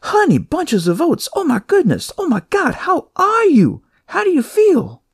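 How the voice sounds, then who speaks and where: A man acts out a big motherly nurse in an audio story. The voice is pitched high and swoops about, exclaiming with delight and showering endearments. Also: wildly swooping pitch, a little slow, dry recording, clean studio sound, full character voice. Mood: delighted, gushing. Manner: effusive, exclaiming, full of endearments.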